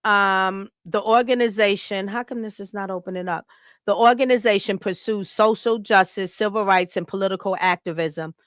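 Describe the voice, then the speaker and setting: A woman's voice: medium pitch, normal speed, dry recording, studio quality. An American woman hosting a podcast, giving a casual tribute that is partly read aloud. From Chicago, United States. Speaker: podcast host.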